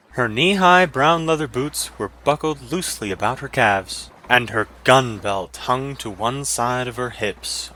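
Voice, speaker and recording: A man's voice: medium pitch, sharp tone, slight background noise, low-quality recording. A man reading a scripted fantasy adventure story as a dramatic narrator.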